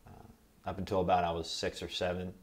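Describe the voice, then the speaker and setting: An American man giving a recorded oral history interview, recalling his life in a measured, reflective way. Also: low-pitched, completely flat delivery. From Kentucky, United States. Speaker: interviewee, military veteran.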